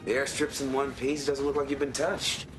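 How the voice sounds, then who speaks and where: An actor playing an earnest, plain-spoken military colonel in a game drama. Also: male voice, medium pitch, flat delivery, moderate pace, over loud music, lightly acted, poor audio. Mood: sober, troubled. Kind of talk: film drama acting.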